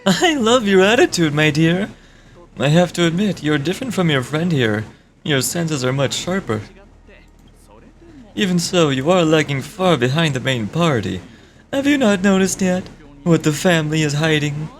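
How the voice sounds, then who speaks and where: A woman voicing a smug, taunting male trickster from a video game, with theatrical, drawn-out mock politeness. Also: deep voice, expressive, a little hiss or hum, pitched below the performer's own voice. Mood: condescending. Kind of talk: game dialogue read aloud in character.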